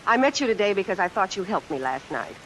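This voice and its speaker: A wary, disappointed woman speaks curtly at a medium pitch. It is old-time radio suspense acting, guarded in tone, with faint hiss and rain on the recording. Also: sing-song, fast talker, fairly bright tone, low-quality recording. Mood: hurt, dismissive. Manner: curt, guarded. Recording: hissy vintage radio with rain.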